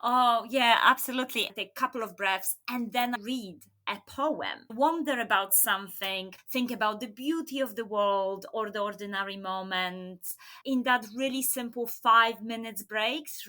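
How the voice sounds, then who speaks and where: A woman's voice: a little high, sharp tone. A woman whose first language is Polish, hosting a podcast and asking questions with enthusiasm. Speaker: podcast host. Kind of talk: interview hosting.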